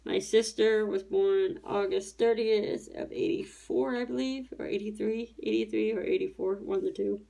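A woman's voice: medium pitch, fairly even intonation, normal speed, vocal fry, few pauses, dark tone, low-quality recording. Chatty woman rambling while she crafts. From Tennessee, USA. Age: 30s. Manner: rambling, chatty, self-correcting. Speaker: diamond painting YouTuber. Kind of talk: casual chat while crafting. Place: home craft table, indoors.

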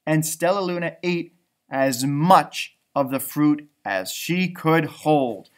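A man in his thirties with an American accent reading a picture book to children, adding chatty, wondering asides in an expressive way. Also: on the high side, takes it slowly. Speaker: storybook reader for children. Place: indoors, a library with bookshelves.

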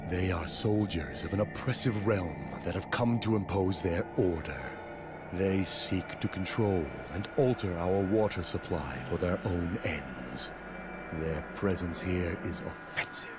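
An actor playing a zealous male warrior cleric of chaos in a video game, speaking in a solemn, deliberate, grandiose way. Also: low voice. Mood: indignant. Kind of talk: scripted video game dialogue. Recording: game music behind the voice. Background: video game music.

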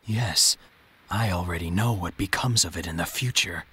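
An actor playing a stern, brooding hedgehog antihero in a video game, terse and commanding. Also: male voice, deep voice, normal speed, fairly bright tone, husky, exaggerated voice. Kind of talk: video game cutscene acting.

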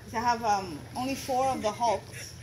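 A woman's voice: fairly high pitch, moderate pace, noisy background. A woman chatting casually while a cartoon plays. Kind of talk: casual chat while watching a cartoon with a child. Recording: cartoon soundtrack playing behind, home recording.

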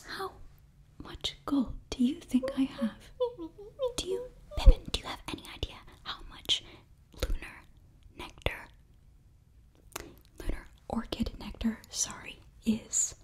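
A young woman playing an earnest, flustered witch librarian in a whispered, breathy ASMR roleplay, speaking haltingly close to the microphone. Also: high voice, very animated, heavily acted. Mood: exasperated. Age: young adult. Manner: hushed, halting, fussy. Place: small indoor set, close to microphone.

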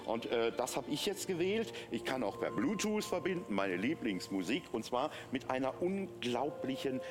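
A man's voice: medium pitch, big pitch swings, normal speed, over music. A middle-aged man giving a live sales demonstration on a shopping channel, explaining in a conversational way. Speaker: shopping channel product presenter. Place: TV studio.

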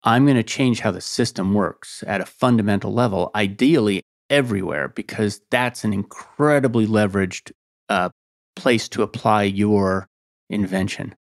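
A man's voice: fairly low pitch, normal speed. A man hosting a podcast, asking probing questions in a conversational interview. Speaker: podcast host.

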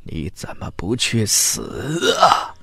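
A man's voice: lowish voice, very animated, slow pace, pushed and bright, breathy edge, no echo, heavily acted. A man voicing a hot-tempered, proud old cultivator in an audiobook, scornful and given to incredulous outbursts. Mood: outraged. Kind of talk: audiobook character acting.

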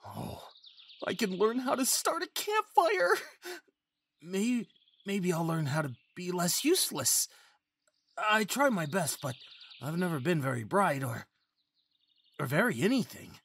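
A man's voice: high-pitched, big pitch swings, unhurried, long pauses, fairly bright tone, husky, no room sound, full character voice. A young man acting a timid, eager, naive male faun who stammers and pleads sweetly in an ASMR fantasy roleplay. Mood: hopeful, eager. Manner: stammering, hesitant, childlike eagerness.

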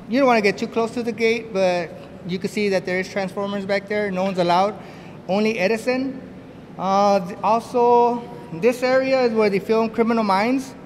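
A man in his fifties giving a casual, explanatory walking tour as a school plant supervisor. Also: sits high. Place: school stairwell and basement electrical room. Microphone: handheld interview microphone. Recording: echoey concrete basement, noisy handheld mic.

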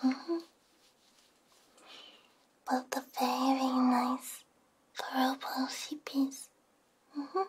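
A young woman performing a gentle, soothing ASMR roleplay in a hushed whisper with soft hums, close on a binaural microphone. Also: sits high, little pitch movement, extremely slow, pauses often, husky.

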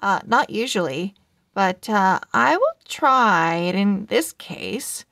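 A woman's voice: mid-range voice, lively intonation, slow pace, vocal fry, fairly bright tone, no room sound, studio quality, full character voice. A woman voicing a proud, sassy female cat from a children's storybook in a haughty, teasing way. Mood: grudging, teasing.

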